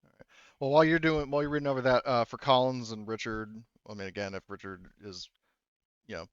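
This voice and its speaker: A man's voice: mid-range voice, fairly even intonation, quick pace, smooth, no room sound, lo-fi sound. A man running a tabletop roleplaying game as game master, talking casually and often restarting his phrases.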